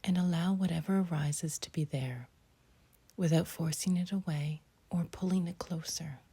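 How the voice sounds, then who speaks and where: A woman guides a meditation in a very husky, breathy voice that sits close to a whisper. Her tone is mellow and on the low side, with a creaky edge. She delivers short, gentle phrases and leaves long pauses between them.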